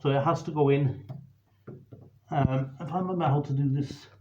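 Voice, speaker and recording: A man's voice: fairly high pitch, steady tone, normal speed, mellow, a little hiss or hum. A man, a DJ, giving a casual how-to demonstration while handling gear, rambling and restarting sentences as he goes. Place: room with a camera operator. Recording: roomy, slightly dull home video audio.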